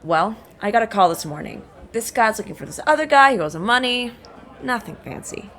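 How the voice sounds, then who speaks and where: Cocky, animated female bounty hunter played by an actress. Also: fairly high pitch, a little fast. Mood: sly, teasing. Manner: sarcastic, blunt, teasing. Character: tough, cocky, sardonic female mercenary-turned-bounty hunter. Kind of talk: audio drama acting.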